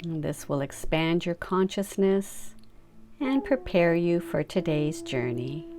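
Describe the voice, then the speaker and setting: A woman leading a guided meditation, giving soft, unhurried instructions to relax and imagine. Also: on the low side, big pitch swings. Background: music.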